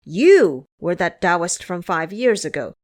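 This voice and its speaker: A woman's voice: fairly low pitch, very animated, slow pace. A woman voicing a proud, suspicious young crown prince in an audio drama, with a commanding manner. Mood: scornful. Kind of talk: audio drama character acting.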